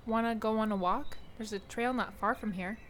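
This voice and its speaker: A woman's voice: fairly high pitch, normal speed, slight background noise, close to an everyday voice. An actress playing a kind, slightly apologetic teenage girl in an audio drama. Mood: friendly.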